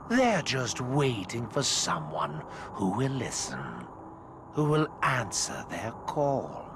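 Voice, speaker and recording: An actor playing a gloating undead orc necromancer in a video game, with a theatrical, taunting, incantatory delivery. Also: male voice, on the low side, vocal fry, heavily acted. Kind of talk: video game villain acting. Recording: loud cinematic music behind. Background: loud music.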